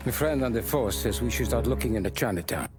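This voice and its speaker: An actor playing a discreet mafia advisor in a video game, measured, businesslike and matter-of-fact. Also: male voice, medium pitch, expressive, music in the background, lightly acted. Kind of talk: dramatic video game acting.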